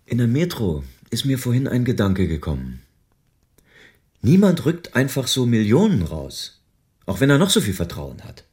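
A man's voice: mid-range voice, huge pitch swings, moderate pace, a little mellow. An actor playing a sober, world-weary male private detective in a radio crime drama, explaining things patiently. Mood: sober concern.

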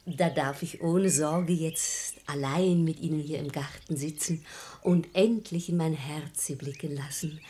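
A woman's voice: low-pitched, steady tone, a little slow, dark tone. A woman playing a shrewd, composed lady of the house in a verse comedy radio play, articulate and persuasive. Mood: coyly seductive.

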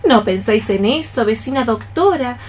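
A woman's voice: fairly high pitch, expressive, moderate pace, slightly rough. A woman playing a very old, lame, practical cockroach neighbour in radio theatre, exclaiming theatrically in rhymed verse. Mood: brisk, coaxing.

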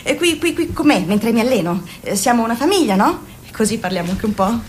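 A woman's voice: fairly high pitch, very animated, a little fast, slight background noise. An actress playing a fussy, chatty middle-aged mother who nags her grown son and sells lipsticks by phone. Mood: nagging, pushy. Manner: nagging, pushy, overly sweet. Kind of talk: radio play acting.

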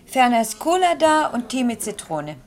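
An actress playing a pert young café waitress in a radio play, curt and easily indignant. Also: female voice, fairly high pitch, sing-song, normal speed, creaky. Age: young adult.

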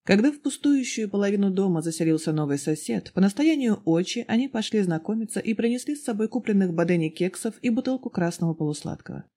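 Storybook narrator, mid-pitched, dark mellow tone with some huskiness. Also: animated, quick pace, few pauses. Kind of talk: scripted narration of fan fiction audiobook.

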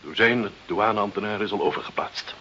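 A man's voice: on the low side, moderate pace, bright, forceful tone. An actor in a radio play as an obsequious, efficient male deputy who speaks dutifully to his superior. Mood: eager, informing. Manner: deferential, dutiful, agreeing. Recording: dull, hissy old radio play recording.

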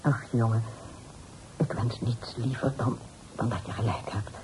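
Low-voiced worried mother in a radio play. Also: unhurried. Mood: tender, contrite. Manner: earnest, formal. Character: worried, dignified mother of a grown son. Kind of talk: period radio drama acting. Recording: faintly noisy old radio play recording.

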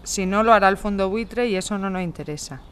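Woman as calm activist, mid-pitched, clear, organised, practical, radio drama. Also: fast, close to an everyday voice. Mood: calm, helpful. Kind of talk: amateur radio drama acting.

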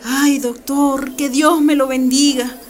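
A woman's voice: sits high, steady tone, slow pace. A woman acting as a pious, anxious mother in a radio drama, deferential and plaintive. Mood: grateful, relieved. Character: grateful, pious, anxious mother of young girl.

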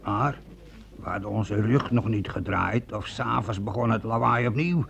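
A frightened old-campaigner soldier speaks with a gravelly creak through a dull, lo-fi radio drama recording. He stammers and repeats himself emphatically, desperate to be believed. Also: on the low side, normal speed, a bit bright. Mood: earnest, insistent. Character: frightened veteran soldier, an archer, insisting on devilry. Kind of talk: Dutch radio play acting.

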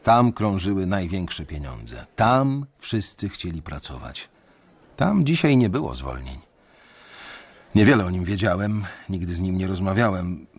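A young man narrating a radio drama in the first person, measured and brooding. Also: sits low, big pitch swings, husky. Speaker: narrator and main character.

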